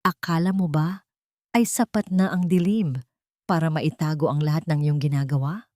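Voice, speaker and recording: A woman voicing a cold, mocking, menacing man in an audiobook drama, speaking slowly and deliberately. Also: sits low, steady tone, a little mellow, no room sound, clean studio sound, lightly acted. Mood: cold, suspicious. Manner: slow, deliberate, scornful. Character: cold, menacing, mocking husband of a rich mansion.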